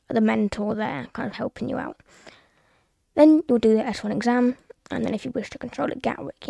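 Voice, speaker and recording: A woman's voice: on the high side, creaky, mellow, dry recording. A woman from the UK with a British accent, presenting a casual tutorial in a plain, step-by-step way. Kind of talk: casual screen-recorded tutorial narration.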